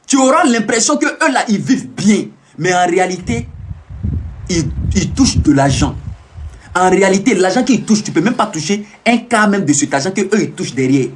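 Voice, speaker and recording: Man, live-stream monologue, high-pitched, swooping animated delivery, emphatic and repetitive, preaching warnings at viewers. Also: normal speed, a little hiss or hum. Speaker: self-proclaimed peace ambassador streaming live.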